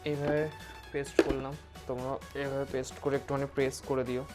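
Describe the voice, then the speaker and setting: Flat-toned Bengali man giving a tutorial. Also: medium pitch, quick pace, over loud music. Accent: West Bengal Bengali. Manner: informal, instructive, mixes English words into Bengali, often checks with "okay?". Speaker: motovlogger presenting a product review. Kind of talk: casual hands-on tutorial and review.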